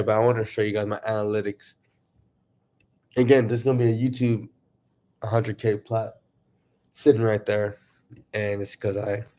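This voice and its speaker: Man, lowish voice, sniffly, casual livestream chatter, rambling, excitable. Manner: rambling, excitable, talks to viewers. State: sniffly from allergies. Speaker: YouTuber livestreaming.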